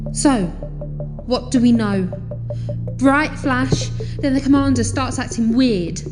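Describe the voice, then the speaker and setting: A woman's voice: high voice, slow pace. A woman playing a blunt, urgent starship crew member in an audio drama. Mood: puzzled, blunt. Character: urgent, sharp-tongued young female starship crew member. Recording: long reverberant hall, music behind. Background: music.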